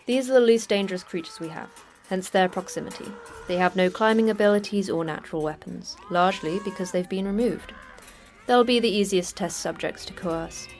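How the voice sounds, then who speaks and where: An actress playing a terse woman station manager in a sci-fi audio drama, brusque and dryly sarcastic in a deadpan way. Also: mid-range voice, brisk, soft background music, lightly acted. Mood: dry, sardonic. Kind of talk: audio fiction podcast acting.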